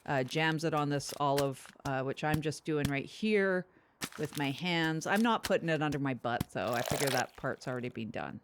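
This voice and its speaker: A woman's voice: low voice, moderate pace, background noise. A woman playing a blunt, casual podcast producer, rambling matter-of-factly through absurd improvised comedy.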